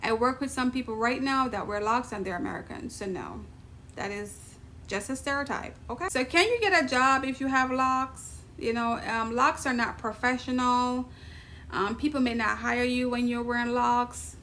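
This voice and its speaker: A woman's voice: on the high side, normal speed, sharp tone, a little hiss or hum. A woman from Jamaica with a Jamaican accent, chatting casually to camera in her vlog, often laughing and adding emphatic asides. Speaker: vlogger.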